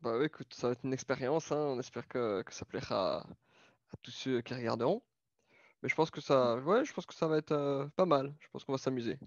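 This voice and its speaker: A man's voice: mid-range voice, fairly quick, dry recording. A man with a light Belgian French accent co-hosting a casual, informal stock talk with some hesitation. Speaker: podcast co-host discussing stocks. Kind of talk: casual chat. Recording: dull, band-limited audio.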